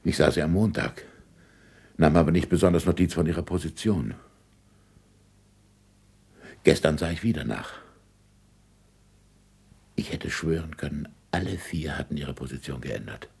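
An actor in a 1960s German radio drama playing a passionate, impatient middle-aged astronomer, with emphatic stage diction and a gravelly, husky voice. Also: male voice, very deep voice, moderate pace, pauses often, full character voice. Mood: intent, wondering. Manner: emphatic stage diction, swinging from murmurs to shouts.

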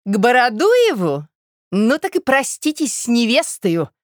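Woman acting a sharp, high-voiced spiteful gossip. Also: sing-song, normal speed, slightly rough, no room sound, clean studio sound. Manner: brusque, needling, conspiratorial.

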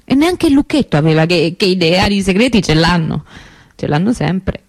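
A woman's voice: lowish voice, lively intonation, no room sound. An actress playing an anguished young woman remembering her missing sister in an intimate, hesitant radio monologue. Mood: wistful, tender. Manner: confiding, hesitant, conversational with pauses and repetitions.

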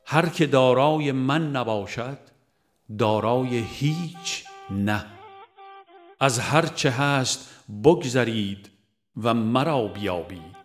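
Formal male audiobook narrator over music. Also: mid-range voice. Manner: formal, measured recitation. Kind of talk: scripted narration of a religious book.

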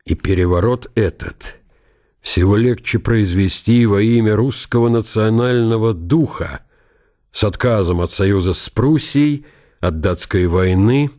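An actor playing an aging count and veteran commander in a radio drama, brooding and calculating in a deliberate, reflective monologue. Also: male voice, on the low side, darkish tone, close to an everyday voice. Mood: sober, calculating.

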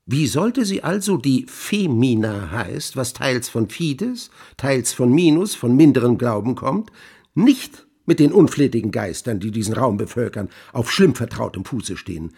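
A man playing a grandiloquent scholar in a radio play, recounting witchcraft lore with relish in declamatory, archaic phrasing and emphatic pauses. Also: mid-range voice, sing-song, gravelly, warm tone, husky, exaggerated voice. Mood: declamatory, accusing. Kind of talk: theatrical literary storytelling in a radio play.

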